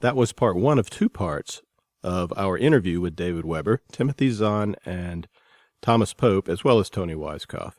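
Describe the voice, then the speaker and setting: A man's voice: deep voice, expressive, normal speed, dry recording, studio quality. A man hosting a podcast, making a scripted announcement between segments. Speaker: podcast host.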